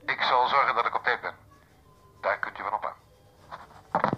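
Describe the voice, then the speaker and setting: An actor playing a polite, self-assured, cunning man in a radio drama, over-courteous and brisk, heard as a telephone voice. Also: mid-range voice, bright, forceful tone, a little hiss or hum. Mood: smoothly pleased. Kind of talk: Dutch radio drama acting. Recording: dull, muffled telephone line.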